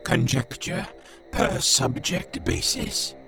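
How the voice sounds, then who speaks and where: An actor playing a coldly logical machine cultist who speaks in clipped, telegraphic fragments in an audio drama. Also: male voice, on the high side, big pitch swings, slow pace, vocal fry, full character voice. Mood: coldly analytical. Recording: music behind the voice.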